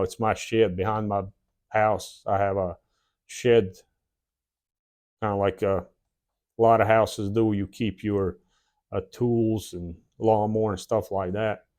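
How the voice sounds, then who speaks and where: A man in his forties from the former Yugoslavia, with a Slavic accent and a Southern drawl, telling his own story plainly with many "uh" pauses in his shed workshop. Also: on the low side, darkish tone. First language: a South Slavic language. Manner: plain, unhurried, frequent "uh" pauses. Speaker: channel host. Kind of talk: casual personal storytelling.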